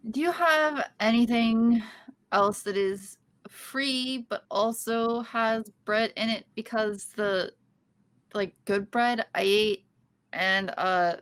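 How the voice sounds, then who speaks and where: A woman reading the part of a quirky young woman in an amateur podcast table read, rambling and halting. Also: fairly high pitch, steady tone, a bit bright.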